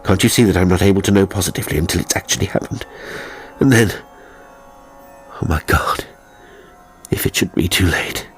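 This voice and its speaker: A man voicing a tormented English gentleman in an audiobook, evasive and desperate, with a strained, halting intensity. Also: low-pitched, soft background music, close to an everyday voice. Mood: anguished. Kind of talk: audiobook character acting.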